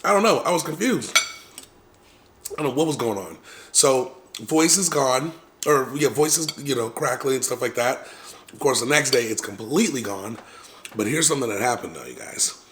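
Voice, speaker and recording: A man casually telling viewers a long personal story, rambling with many fillers and restarts and clearing his throat now and then. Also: medium pitch, animated, normal speed, a bit bright, a little hiss or hum. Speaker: vlogger.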